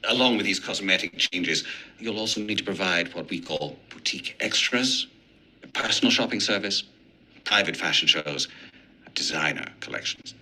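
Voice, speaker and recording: An actor playing a formal, earnest male market researcher who presents as if giving a pitch. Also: moderate pace, bright, forceful tone, rough recording.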